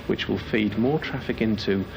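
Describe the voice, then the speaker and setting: A man's voice: on the low side, normal speed. A man speaking as a campaigner, making an earnest case in a formal campaign speech. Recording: clearly noisy, urban background sound.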